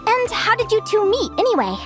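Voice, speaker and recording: High-voiced, eager young fae adventurer. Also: female voice, brisk, music in the background. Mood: friendly, curious. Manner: eager, expressive, wistful when reflective. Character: bright, curious young fae girl, adventurous naturalist. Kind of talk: video game character voice acting.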